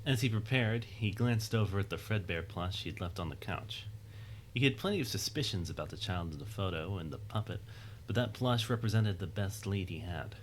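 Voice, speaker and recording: A man's voice: deep voice, animated, moderate pace, a little hiss or hum, low-quality recording. A man reading scripted narration for an audio drama in a storytelling style.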